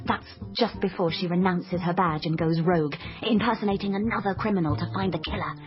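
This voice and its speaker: A woman's voice: fairly low pitch, a little fast, natural voice. A woman playing a bookish, awkward young dropout who tells her story like a crime novel in a radio drama, self-deprecating and dramatic. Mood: dreamy, wry. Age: young adult. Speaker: narrator and lead character. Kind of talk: radio drama, first-person noir-flavoured narration and dialogue. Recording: dull sound with music behind. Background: music.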